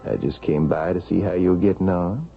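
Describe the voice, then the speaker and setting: Gravelly, deep, scheming husband. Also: male voice, steady tone, moderate pace, faint music behind. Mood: coolly polite. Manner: coolly polite, insinuating. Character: menacing, smooth-talking scheming son-in-law and husband. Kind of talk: vintage radio drama acting. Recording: dull, noisy old radio recording.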